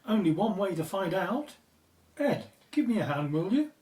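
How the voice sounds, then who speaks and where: A practical, curious woman on a dig team played by an actress in an audio drama. She sounds set back from the mic in an echoing space, with lively pitch. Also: normal speed, lightly acted. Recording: echoey, distant from the mic.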